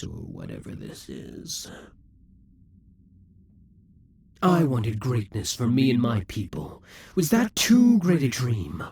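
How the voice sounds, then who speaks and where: A man playing a vengeful, defeated demon king in an audio roleplay, taunting and grandiose, with a husky, breathy voice that often drops to whispers. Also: medium pitch, darkish tone, full character voice. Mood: bitter, brooding. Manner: grandiose, menacing, deliberate dramatic delivery. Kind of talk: scripted audio roleplay monologue.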